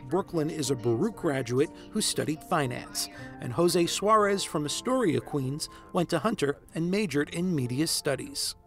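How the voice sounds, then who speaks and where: A man reporting a scripted news story in a brisk, informative read. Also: fairly high pitch.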